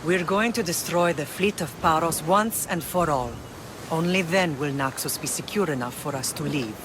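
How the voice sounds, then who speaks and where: A woman playing a resolute middle-aged Spartan mother and leader in a video game, speaking in a measured, solemn, authoritative way. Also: low-pitched, noisy background, close to an everyday voice. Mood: determined. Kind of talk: dramatic video game cutscene acting. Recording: loud music behind the voice.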